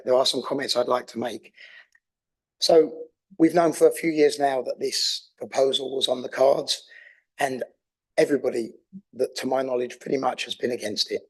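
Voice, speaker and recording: Hoarse man giving a council speech. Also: medium pitch, low-quality recording. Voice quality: raspy, gravelly. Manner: earnest, persuasive, with some restarts. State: hoarse from a cold. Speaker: councillor moving a motion. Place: council chamber.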